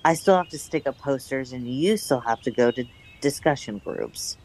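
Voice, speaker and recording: A woman's voice: very deep voice, lively intonation, moderate pace, a little mellow, exaggerated voice, rough recording. A woman playing a bold, pragmatic young woman and secretive lover in a radio drama, speaking in endearments. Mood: frank, conspiratorial. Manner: endearing, frequently says darling.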